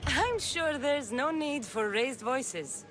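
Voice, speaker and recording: Lively, self-assured woman adventurer. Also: high voice, slow pace, soft background music, exaggerated voice. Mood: curious, calm. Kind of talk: video game cutscene dialogue.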